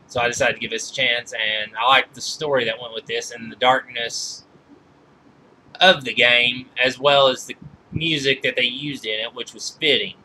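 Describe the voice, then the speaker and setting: A man giving an informal review commentary from notes, halting and often backing up to rephrase his sentences. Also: medium pitch, pushed and bright, a little hiss or hum, rough recording. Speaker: video reviewer counting down a list of movies.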